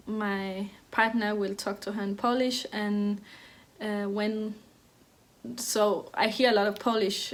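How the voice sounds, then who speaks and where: A woman in her thirties from Denmark talks casually about knitting. Her pitch stays level and flat, and she often pauses and restarts mid-sentence. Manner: hesitant, many restarts and fillers; even, flat delivery. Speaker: knitting podcast host. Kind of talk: casual chat.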